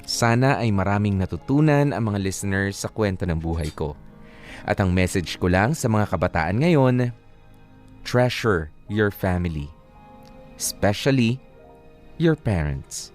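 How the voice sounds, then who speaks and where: A man's voice: on the low side, animated, unhurried, long pauses, a little mellow. Filipino male radio host over background music. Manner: code-switches between Tagalog and English. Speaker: radio host reading a listener's letter and commenting. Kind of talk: radio advice show reading and casual banter. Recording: music playing behind the voice.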